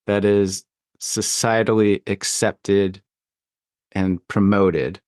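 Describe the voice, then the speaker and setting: A man's voice: lowish voice, steady tone, takes it slowly, a little mellow, dry recording, clean studio sound. A man interviewing a guest in a reflective, conversational style, with thoughtful and articulate phrasing. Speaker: podcast interviewer.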